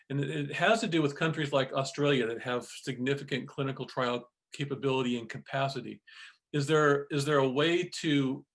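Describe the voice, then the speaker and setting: A man moderating a discussion conversationally, halting and repeating words as he searches for his phrasing. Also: mid-range voice, gravelly. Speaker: moderator, chief executive of a trade council.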